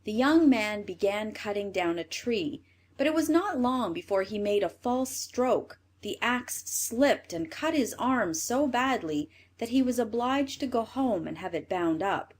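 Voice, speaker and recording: A woman reading a fairy tale for children as an expressive storyteller. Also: a little high, unhurried. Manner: expressive read-aloud delivery.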